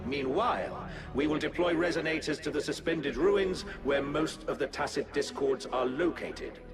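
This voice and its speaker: Man acting as firm battle strategist, fairly high male voice, echoing, dramatic game briefing. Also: normal speed, natural voice. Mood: determined. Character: resolute military strategist briefing troops before battle. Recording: echoing voice under music.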